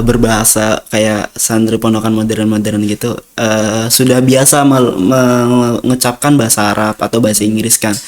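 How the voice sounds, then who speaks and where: A young man with an Indonesian accent, a student guest telling his experiences in a casual interview, rambling with many fillers and acting out dialogue. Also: medium pitch. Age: young adult.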